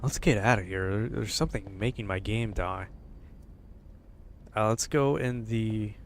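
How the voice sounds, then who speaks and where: A man's voice: lowish voice, unhurried, a little hiss or hum. A man streaming a horror game, grumbling casually and swearing, wary of what lies ahead. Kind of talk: casual livestream commentary.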